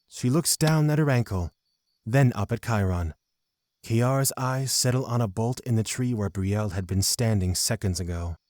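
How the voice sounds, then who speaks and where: A man's voice: on the low side, normal speed, a little mellow. A man narrating a scripted fantasy audio drama.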